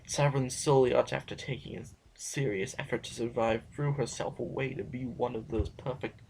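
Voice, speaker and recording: An actor playing a grave, reflective scholar in an audio drama, speaking in a measured, brooding way. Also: male voice, medium pitch, fairly even intonation, creaky, darkish tone, a little hiss or hum, close to an everyday voice, rough recording. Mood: brooding, bitter. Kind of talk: scripted audio drama acting.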